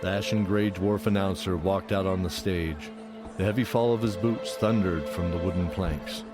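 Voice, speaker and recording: A man's voice: deep voice, normal speed. A man narrating a scripted fantasy audio drama. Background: loud music.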